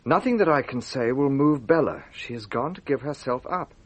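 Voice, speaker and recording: An actor playing an earnest, impulsive gentleman who narrates a radio drama, with a husky voice. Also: mid-range voice, expressive, normal speed, dry recording. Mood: sombre.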